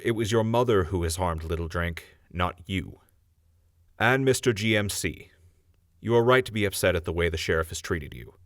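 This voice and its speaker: A man's voice: low voice, no room sound, studio quality, close to an everyday voice. A man voicing a stern town judge who speaks formally and scolds the defendants.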